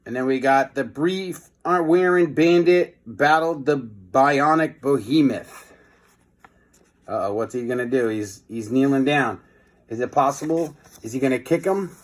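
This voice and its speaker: A man hosting a children's read-aloud, moving between expressive storybook reading and informal, hesitant asides. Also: medium pitch, measured pace.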